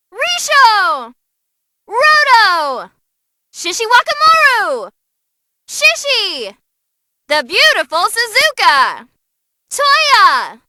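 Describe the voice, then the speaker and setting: Perky, bloodthirsty female arena announcer for a fighting game; very high, hugely animated delivery, theatrical and showy. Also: exaggerated voice. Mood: crisp announcing. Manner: perky, showy, gleefully relishing violence. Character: cute bubbly bloodthirsty female tournament announcer.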